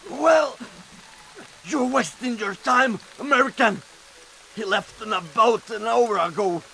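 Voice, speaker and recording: An actor playing a strained guerrilla captive in a video game, defiant but cowed by threat. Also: male voice, very high voice, slow, creaky, sharp tone, slight background noise, heavily acted, rough recording. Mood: grudging scorn. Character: defiant male Latin American guerrilla who cracks under threat.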